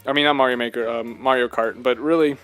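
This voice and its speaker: A man's voice: mid-range voice, fairly even intonation, quick pace, a bit bright, clear, resonant tone, soft background music. A man co-hosting a casual video game discussion, talking in an earnest, emphatic way and often asking rhetorical questions. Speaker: podcast co-host.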